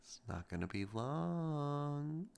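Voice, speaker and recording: A man performing a romantic ASMR roleplay to the listener, soft, intimate and teasing with a possessive edge. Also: very animated, takes it slowly. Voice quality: low gravelly voice with heavy vocal fry.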